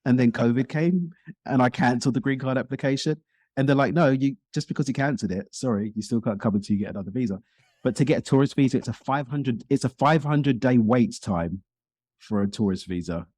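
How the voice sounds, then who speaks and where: Male interview guest: quick, talkative, close dry sound, conversational. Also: medium pitch, darkish tone, dry recording. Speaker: podcast guest, speaker and coach.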